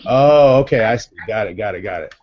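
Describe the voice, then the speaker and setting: A man's voice: on the low side, animated, fairly quick. Chatty man with a Massachusetts accent. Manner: chatty, upbeat, many fillers. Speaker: live show host. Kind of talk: casual live video chat. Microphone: video call. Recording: dull lo-fi video call audio.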